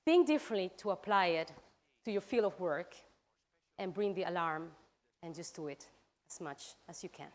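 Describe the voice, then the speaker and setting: A woman's voice: lowish voice, animated, normal speed, slight background noise. A woman giving encouraging, emphatic closing remarks at a conference. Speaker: speaker, organizer. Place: conference hall. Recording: reverberant hall.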